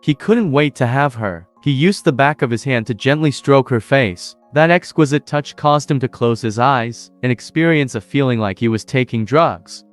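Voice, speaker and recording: A man reads a dramatic story in an even, steady voice-over. The sound is dry and close, and soft music plays underneath. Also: medium pitch, moderate pace, few pauses, no room sound. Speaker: narrator. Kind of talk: scripted story narration.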